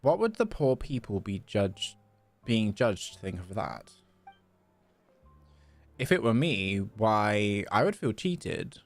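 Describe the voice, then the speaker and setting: A man puts on a grandly courteous game shopkeeper who teases in ornate, theatrical phrases. The pitch swings about and the voice has a gravelly edge, over faint background music. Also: big pitch swings, slow pace, long pauses, full character voice. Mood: haughty, teasing.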